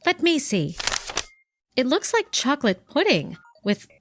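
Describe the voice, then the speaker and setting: A woman's voice: sits high, big pitch swings, measured pace, music in the background. An actress playing an earnest heroic young woman in an eager 1930s radio serial style.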